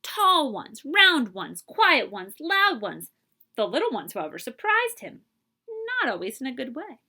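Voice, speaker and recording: Lady reading a kids picture book out loud, bright highish voice, really expressive. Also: vocal fry. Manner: expressive, emphatic storytelling with dramatic pauses. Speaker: librarian reading aloud. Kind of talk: animated children's picture book read-aloud.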